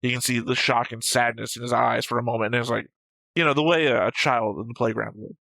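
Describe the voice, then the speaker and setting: A man with a bright, sharp voice in a very dry recording. He talks like a co-host in a casual debate, picking points apart in a matter-of-fact, argumentative manner. His pitch sits in the middle. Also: steady tone, fast, gravelly, dry recording, poor audio. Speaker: co-host of an anime reaction show. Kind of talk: casual analytical debate, discussion.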